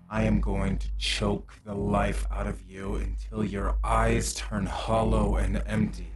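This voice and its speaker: Man acting a slow, menacing killer AI companion. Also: lowish voice. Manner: slow, cold, taunting, deliberate. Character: menacing murderous male AI companion in a robot body. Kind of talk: dark sci-fi audio roleplay acting. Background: music.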